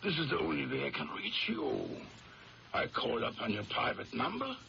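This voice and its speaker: A gravelly, menacing blackmailer. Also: male voice, medium pitch, very animated, bright, forceful tone, exaggerated voice. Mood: oily insistence. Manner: stilted foreign phrasing, oily politeness, deliberate. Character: menacing male blackmailer, falsely polite and coolly insistent. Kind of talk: 1970s radio drama acting. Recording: noisy, dull old radio broadcast recording.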